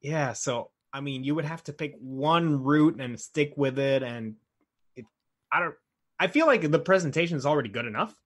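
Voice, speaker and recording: A man's voice: fairly high pitch, moderate pace, fairly bright tone. A man co-hosting a podcast, talking informally and hesitantly as he thinks aloud. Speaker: podcast co-host. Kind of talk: casual conversational chat.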